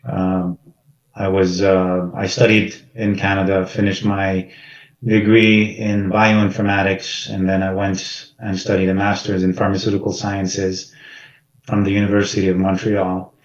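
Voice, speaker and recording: A man from Iraq on a community panel, telling his own story in a soft-spoken, hesitant way. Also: low voice, completely flat delivery, unhurried. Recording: roomy, distant and dull.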